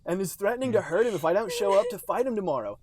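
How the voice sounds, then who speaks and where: A man voicing an excitable, fretful cartoon game creature in a comic fan fiction reading, with exaggerated dramatic delivery. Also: high-pitched, rapid-fire, a little hiss or hum. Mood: indignant, dramatic.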